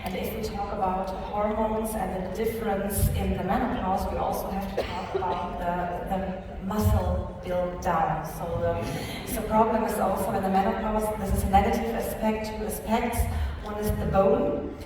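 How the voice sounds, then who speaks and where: A woman's voice: medium pitch, fairly even intonation, moderate pace, noisy background. Woman, hesitant, lots of fillers, far off mic, very echoey hall. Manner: hesitant, many fillers and restarts. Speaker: panel speaker at a product training. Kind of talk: live panel answer with fillers. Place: large conference hall.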